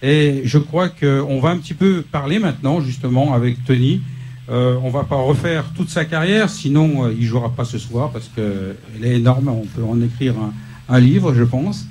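A man's voice: mid-range voice, normal speed, dark tone, slight background noise. A man hosting a live studio interview after a concert set, with many fillers and restarts. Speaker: local TV show host.

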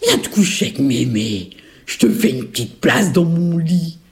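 A woman voicing a sly, greedy ogress in a children's story, with a big gruff voice and slow, menacing drawl. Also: low-pitched, very animated, darkish tone. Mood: gruff, coaxing. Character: greedy, sly female ogress who devours grandmothers.

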